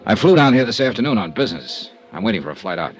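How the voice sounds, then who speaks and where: An actor playing an affable, protective investigator in a 1950s radio detective drama, talking in an easygoing, conversational way. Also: male voice, on the low side, big pitch swings, fast talker, soft background music. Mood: friendly, solicitous. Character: affable, wisecracking freelance insurance investigator, protective of strangers. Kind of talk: 1950s radio detective drama acting with first-person narration.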